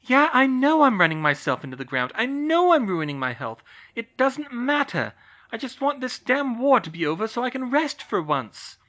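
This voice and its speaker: A frantic young witch. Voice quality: shouting, strained high voice. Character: young witch, overworked and distraught. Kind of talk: audiobook reading of fan fiction. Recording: slightly muffled recording.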